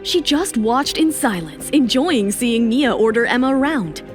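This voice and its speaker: A woman's voice: on the high side, animated, measured pace. A woman reading scripted, dramatic narration for a serialized romance audio story. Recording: music under the voice.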